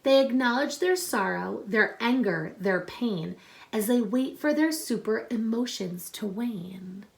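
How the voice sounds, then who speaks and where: A woman teacher reading a picture book aloud to children, expressive and instructive, pausing to explain and ask questions. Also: fairly high pitch, creaky. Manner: deliberate, instructive, addressing young listeners with questions.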